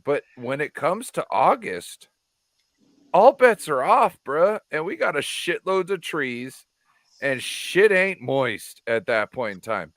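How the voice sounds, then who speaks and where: A man's voice: on the high side, very animated, slow, fairly bright tone, no room sound. A man from California chatting casually as a show host, rambling and swearing freely. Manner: loose, profane and rambling. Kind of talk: casual chat.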